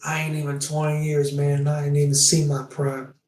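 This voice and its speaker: A man's voice: fairly high pitch, completely flat delivery, fairly quick, darkish tone, recorded from a distance. A man in his twenties chatting casually on a livestream, rambling and meandering with self-deprecating remarks. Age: 20s. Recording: echoey room, away from the mic.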